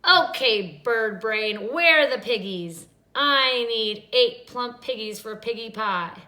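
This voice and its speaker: A woman's voice: extremely high pitch, expressive, slow pace, pitched above the performer's own voice, heavily acted. A woman reading aloud and voicing a greedy, bossy witch who screeches and hurls insults. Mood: bossy, screeching. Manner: shouting insults. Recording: roomy echo.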